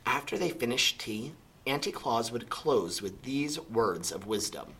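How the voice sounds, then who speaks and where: Animated, husky man reading a storybook. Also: medium pitch, measured pace. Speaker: storybook reader. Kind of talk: animated children's story narration.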